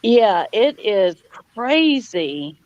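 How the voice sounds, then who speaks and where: Woman, folksy chat host, casual talk, mid pitch, dull close dry lo-fi sound. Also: very animated, very slow, a little mellow, no room sound. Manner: folksy, says y'all. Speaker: live chat stream host. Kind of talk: casual chat with guests and viewers. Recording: dull lo-fi audio.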